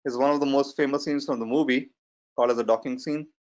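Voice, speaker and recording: A man in his forties with a strong Indian accent, giving an online lecture in a measured, hesitant way with fillers. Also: mid-range voice, steady tone. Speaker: data science trainer. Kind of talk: webinar lecture. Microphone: computer mic on a video call. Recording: slightly muffled online webinar audio.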